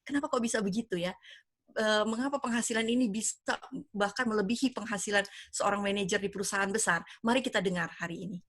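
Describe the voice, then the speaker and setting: A woman's voice: medium pitch, fast talker, fairly bright tone, dry recording, studio quality. A woman in her fifties from Padang, hosting an online business presentation on a video call in an enthusiastic, persuasive way with many fillers. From Indonesia (Padang). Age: 50s. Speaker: event host. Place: home, lives in the United States.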